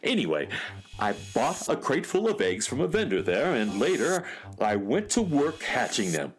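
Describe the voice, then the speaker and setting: A man's voice: medium pitch, wildly swooping pitch, moderate pace, soft background music. Man playing a fussy space handyman, wildly swooping delivery. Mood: earnest explaining. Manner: fussy, precise, theatrical. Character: fussy, earnest eccentric male handyman inventor of outer space. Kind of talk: children's radio serial acting.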